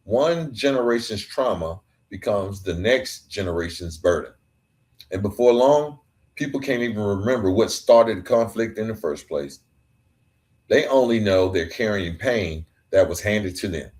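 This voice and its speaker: Male podcast host, lower voice, earnest solo talk built on emphatic repeated lines. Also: lively intonation, unhurried, a little mellow. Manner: earnest, building emphatic repetitions. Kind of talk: reflective podcast monologue.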